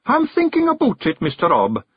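Young scottish pictsie lad, anxious, earnest, very high, husky, muffled. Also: male voice, wildly swooping pitch, moderate pace, no room sound, heavily acted. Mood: nervous. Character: young nervous male pictsie seer.

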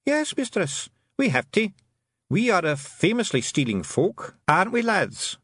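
A man's voice: sits high, wildly swooping pitch, measured pace, fairly bright tone, husky, no room sound, voice pushed up, heavily acted. A man voicing a small, rowdy blue clan leader with a Scottish accent, exclamatory and anxiously deferential. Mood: proud, rallying. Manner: exclamatory, pleading, dialect-heavy.

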